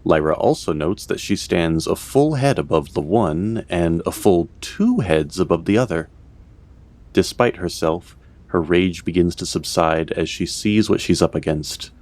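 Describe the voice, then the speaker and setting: A man narrating a scripted fantasy story in a measured, literary way. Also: low voice, darkish tone.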